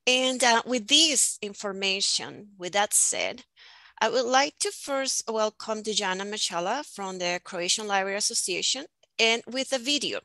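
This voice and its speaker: A middle-aged woman hosting a panel with a prepared, formal presentation in a measured, deliberate manner, speaking into a headset. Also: mid-range voice, expressive, bright, forceful tone, dry recording. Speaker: panel host and moderator. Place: indoors on a video call, virtual background.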